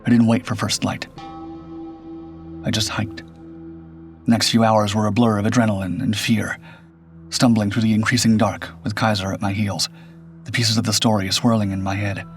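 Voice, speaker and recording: A man narrating a scripted first-person suspense story in a measured, grave storytelling manner. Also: fairly low pitch, flat delivery. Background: background music.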